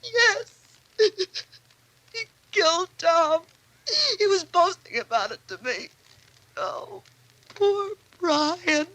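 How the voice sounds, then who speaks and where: A distraught, scheming wife from a 1940s radio melodrama. Her voice is extremely high, shrill and sharp, delivered in a heightened theatrical style with a dry sound. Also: expressive, slow, long pauses, dry recording, heavily acted, poor audio. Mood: sobbing, hysterical. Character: distraught, scheming wife feigning innocence after killing husband.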